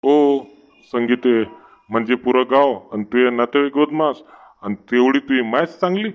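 Casting: man as exasperated cartoon husband, rural Vidarbha Marathi, mid-pitched, sarcastic grumbler, lo-fi recording. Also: moderate pace, smooth, natural voice. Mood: grumbling, exasperated. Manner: complaining, sarcastic. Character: grumbling, henpecked village husband in a comedy cartoon. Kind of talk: cartoon comedy voice acting.

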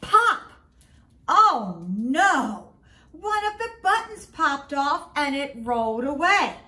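Middle aged lady doing a kids read-aloud, really slow and bright, very expressive. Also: sits high. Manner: expressive, slow and deliberate for children, with sing-song refrains. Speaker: school principal, guest story reader. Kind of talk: children's picture-book read-aloud. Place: small home room, indoors.

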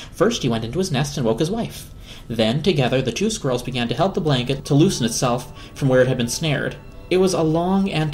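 A man narrating a children's story as a dramatic reading. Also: on the high side.

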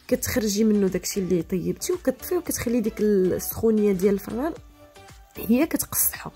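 A woman's voice: mid-range voice, mellow. A young woman with a Moroccan Arabic accent hosting a cooking tutorial, chatty and practical, talking straight to viewers. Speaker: cooking channel host.

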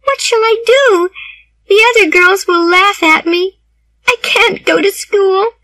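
Woman voicing a high dramatic young girl. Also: slow pace, pushed and bright, no echo, heavily acted. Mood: upset. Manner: high, emotional and theatrical. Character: eager, dramatic young red-haired girl.

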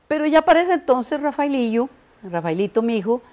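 An older woman from Mexico with a Mexican accent softened by Costa Rican usage, a guest in an interview, telling stories and acting out little dialogues with frequent restarts. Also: high-pitched, a little fast. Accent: Mexican Spanish softened by Costa Rican usage. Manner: animated storyteller, acts out dialogue, frequent restarts. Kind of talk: reminiscing conversation. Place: studio set styled as living room, indoors.

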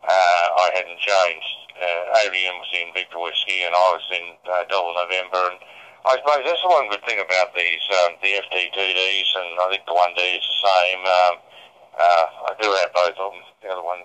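Deep smooth-voiced guy on ham radio, pauses a lot mid sentence. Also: fairly even intonation, bright, forceful tone, poor audio. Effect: radio transmission. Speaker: amateur radio operator. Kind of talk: unscripted ham radio exchange.

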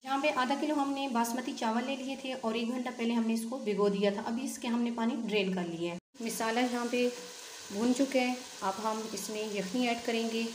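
A woman gives calm, methodical step-by-step recipe instructions as a cooking channel host in her home kitchen. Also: a little high, normal speed. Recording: echoey kitchen, loud cooking noise.

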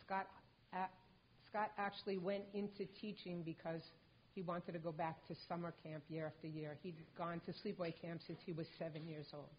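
An older woman at a lectern, reading a prepared tribute speech in a calm, measured way. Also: on the low side, a little hiss or hum. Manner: measured, composed delivery. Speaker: mother accepting a hall of fame honor for her late son. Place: stage with a lectern in a large indoor hall. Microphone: lectern microphone. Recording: dull lo-fi hall recording.

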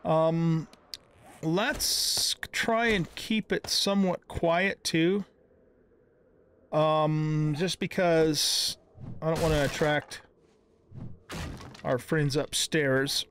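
A man's voice: on the high side, fairly even intonation, extremely slow, long pauses, fairly bright tone, a little hiss or hum. A middle-aged man giving casual gameplay commentary, thinking aloud in a conversational way. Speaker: gaming video host.

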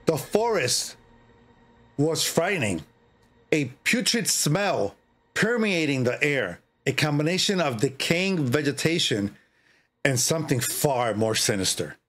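A middle-aged man hosting a livestream, chatting casually and reading a horror story in a drawn-out, theatrical way with pauses. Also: a little high, big pitch swings, a bit bright. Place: indoor streaming setup. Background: music.

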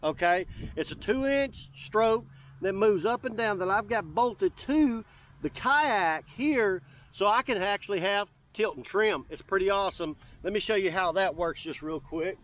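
High-voiced man giving a casual outdoor demo. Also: animated, measured pace, fairly bright tone. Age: middle-aged. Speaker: YouTube host demonstrating DIY kayak builds. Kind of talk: casual walk-through demonstration. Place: outdoors on open grass by water.

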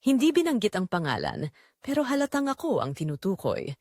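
A woman tells a scripted romance in the first person. Her delivery is earnest and measured, with lively rises and falls in pitch. The sound is dry, with very little room in it. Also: dry recording. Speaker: narrator of a romance audio drama.

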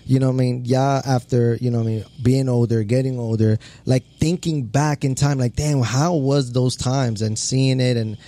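A man's voice: medium pitch, steady tone, moderate pace, few pauses, dark tone. A man in his late thirties co-hosting in a slangy casual chat, mixing Spanish into his English. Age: late 30s. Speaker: podcast co-host.

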